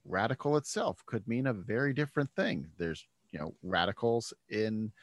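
A man's voice: on the low side, lively intonation, moderate pace, no echo, studio quality. A man hosting a podcast, chatting casually and thinking aloud, often starting sentences over. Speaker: podcast host. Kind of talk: casual conversation.

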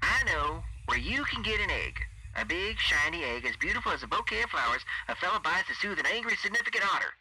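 A man voicing a brash, fast-talking otherworldly thief in an audio story, with a buzzy radio-filtered voice and folksy, slangy patter. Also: fairly high pitch, very animated, sharp tone, husky, heavily acted, rough recording. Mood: sly, excited.

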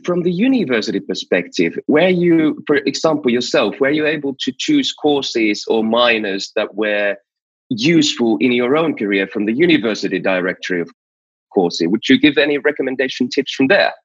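A man hosting a conversational interview, putting questions to a guest over dull, low-fidelity audio. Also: lively intonation, normal speed, slightly rough, dry recording. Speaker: interviewer, panel host.

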